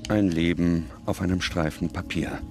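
Deep-voiced man narrating a documentary over music. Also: fairly even intonation. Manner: solemn, measured, dramatic pauses. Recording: loud music under the voice.

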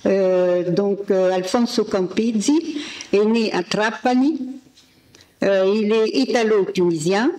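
A woman moderator reading a speaker's biography from notes at a desk mic, with pauses and fillers. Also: medium pitch, darkish tone. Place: ornate hall indoors. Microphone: desk gooseneck mic.

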